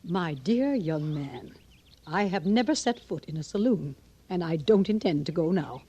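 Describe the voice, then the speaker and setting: A woman's voice: on the low side, lively intonation, unhurried, mellow, husky, muffled recording. A woman playing a prim, haughty, easily shocked frontier lady in an old-time radio western. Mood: prim, suspicious. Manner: prim, proper, indignant. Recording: noisy vintage radio recording.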